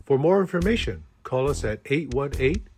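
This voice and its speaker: A man's voice: mid-range voice, expressive, moderate pace, a little mellow, soft background music. A man announcing a staffing ad in a scripted commercial read. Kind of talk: radio-style ad read.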